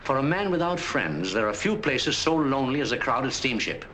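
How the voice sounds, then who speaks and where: An actor playing an elderly clinic doctor in a 1940s film, giving measured, emphatic advice. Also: male voice, mid-range voice, sing-song, fairly bright tone, rough recording. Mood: advising. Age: older. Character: elderly wise clinic doctor, kindly, lecturing. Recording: faint hiss on old film soundtrack.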